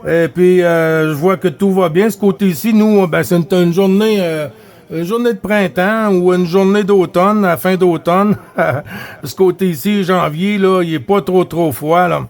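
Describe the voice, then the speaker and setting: A man from Quebec talks in a Québécois accent as a CB radio operator. His voice sits high for a man, and he pauses often with filler sounds while passing the turn to other stations. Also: steady tone. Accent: Québécois French. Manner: hesitant, frequent filler sounds, friendly sign-offs. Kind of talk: casual radio contact chat.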